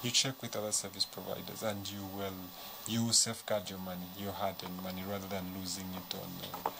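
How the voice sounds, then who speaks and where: A man's voice: deep voice, flat delivery, brisk, creaky, noisy background. A man, a studio guest, giving earnest advice. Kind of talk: studio discussion.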